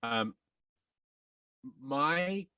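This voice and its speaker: A man's voice: on the high side, animated, extremely slow, sharp tone, clear, resonant tone, no room sound, studio quality, distant mic. A man telling personal stories as a podcast guest, candid and reflective, often interrupting himself.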